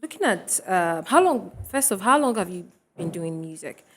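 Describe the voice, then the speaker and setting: A woman hosting a TV studio discussion, chatty and quick to laugh between remarks. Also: lowish voice, big pitch swings, fairly bright tone. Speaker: TV talk show host.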